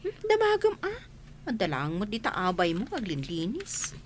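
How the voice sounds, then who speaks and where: A woman's voice: mid-range voice, huge pitch swings, normal speed, slight background noise. A woman with an Ilocano accent playing a sassy, resentful office worker in a radio comedy drama. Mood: snippy.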